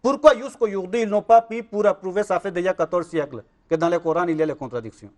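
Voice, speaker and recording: A man, speaking in a fairly high voice. He argues insistently as an interview guest, circling back to check that he is understood. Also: fast talker, a little mellow. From Pakistan. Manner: insistent, repeats "vous comprenez?". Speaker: Ahmadi missionary guest. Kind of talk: religious interview argument.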